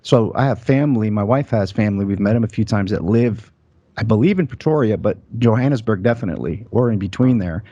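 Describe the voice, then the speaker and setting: A man's voice: lowish voice, expressive, fast talker, warm tone, no echo, dull audio. A man hosting a conversational interview, asking curious follow-up questions. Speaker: host.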